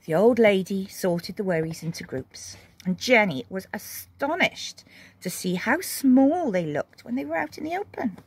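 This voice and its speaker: A woman reading a children's story expressively, with dramatic pauses and emphasis. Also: medium pitch, animated, breathy edge.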